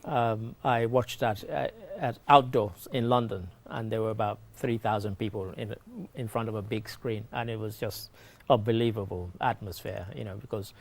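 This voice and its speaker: A man's voice: on the low side, steady tone, moderate pace, gravelly, few pauses. A man talking conversationally as a morning show panelist, explaining current affairs and giving his opinions.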